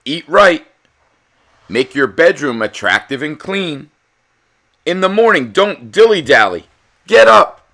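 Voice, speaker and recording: A man voicing a forceful, motivating strongman fitness pitchman from a storybook, in a punchy, commanding manner. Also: sing-song, slow pace, long pauses, heavily acted.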